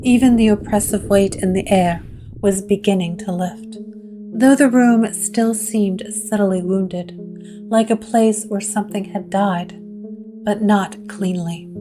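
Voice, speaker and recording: A woman narrating a gothic audio drama from a script, in a deliberate storytelling manner. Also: mid-range voice. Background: music.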